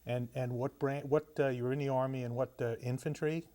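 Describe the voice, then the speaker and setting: A man's voice: medium pitch, fairly even intonation, brisk, warm tone. A man interviewing a veteran for an oral history, asking friendly questions with short, encouraging replies.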